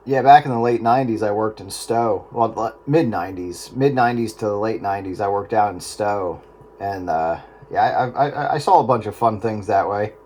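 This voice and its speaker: A man's voice: fairly low pitch, moderate pace. A middle-aged American man chatting casually and folksily over a two-way radio. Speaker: amateur radio operator in a round-table chat. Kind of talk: casual chat. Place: on-air radio contact. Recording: very noisy two-way radio transmission.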